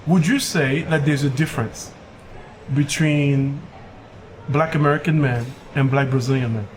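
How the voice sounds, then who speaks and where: A man's voice: medium pitch, moderate pace, slight background noise, poor audio. A man hosting an interview, asking questions and backing the talk with short affirmations. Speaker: podcast host.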